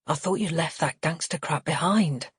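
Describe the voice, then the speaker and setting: Woman voicing a low, growling, resentful older dad. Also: normal speed, breathy edge, no room sound, clean studio sound. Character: resentful, snarling, menacing older father, a criminal.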